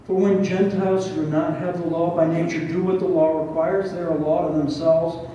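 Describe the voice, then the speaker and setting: A man in his sixties preaching an expository Bible study, reading and explaining scripture in a deliberate, teacherly way with rhetorical questions. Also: a little high, level tone, warm tone, muffled recording. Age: 60s. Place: barn hall with a stage, indoors. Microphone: headset. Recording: roomy, echoing, distant sound with faint noise.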